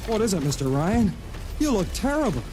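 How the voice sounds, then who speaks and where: A man's voice: high voice, sing-song, brisk, music in the background. An actor playing a naive, earnest, deferential young male assistant in an old-time radio drama. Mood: worried. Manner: earnest, wide-eyed. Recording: noisy old radio broadcast.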